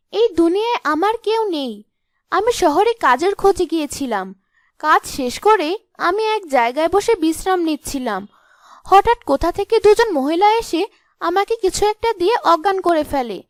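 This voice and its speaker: Pleading young maiden, secretly a witch, played by a woman, high pitch, polite, storybook style. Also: no room sound, studio quality.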